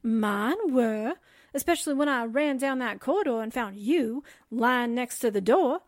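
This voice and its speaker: Woman acting a very high, perky young sidekick. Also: lively intonation, unhurried. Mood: wry, dramatic. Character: perky, loyal young female assistant sidekick. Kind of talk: 1940s radio drama acting.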